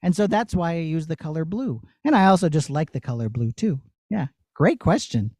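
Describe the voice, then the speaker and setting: Male puppeteer-teacher, high voice, casual and encouraging with children, close dry recording. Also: expressive, moderate pace, darkish tone, dry recording. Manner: encouraging, conversational, checking in with listeners. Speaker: puppeteer giving a master class. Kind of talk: casual explanation and demonstration for children.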